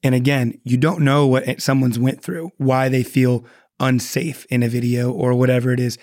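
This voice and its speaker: A man's voice: medium pitch, flat delivery, normal speed, darkish tone, dry recording, clean studio sound. A man speaking earnestly as a podcast guest, often restarting his sentences. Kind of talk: casual podcast chat.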